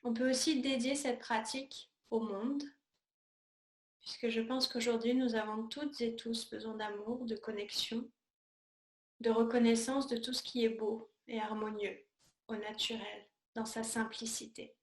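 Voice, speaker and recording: A woman teaching yoga, guiding a meditation in a calm, soothing, unhurried way with pauses between short phrases. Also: a little high. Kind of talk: guided yoga and meditation instruction. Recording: echoey room sound.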